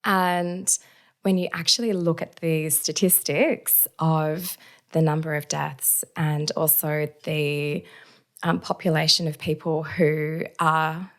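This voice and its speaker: Raspy, low-pitched woman, reflective. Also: little pitch movement, slow. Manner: soft, reflective, many fillers. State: recovering from illness, hoarse. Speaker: podcast guest, chiropractor. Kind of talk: casual interview chat.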